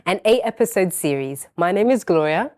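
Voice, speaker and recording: A woman's voice: lowish voice, expressive, moderate pace, darkish tone. A teenage girl hosting a talk show in an upbeat, enthusiastic way, with an English accent that has touches of Northern Irish. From born in England, raised in Northern Ireland. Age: teens. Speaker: host.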